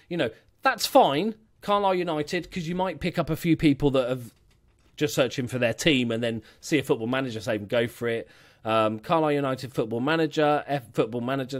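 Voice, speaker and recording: A man giving a casual, rambling critique of a gaming channel as a YouTube coach, often correcting himself mid-sentence. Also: mid-range voice. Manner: rambling, self-correcting, chatty. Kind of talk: casual screen-share critique.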